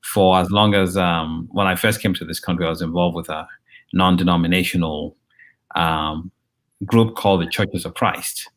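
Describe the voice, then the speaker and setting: A man in his fifties from Nigeria, a journalist and professor giving an interview, talking in a measured way with frequent ums and restarts. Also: low voice, fairly even intonation, a bit bright. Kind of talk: conversational interview.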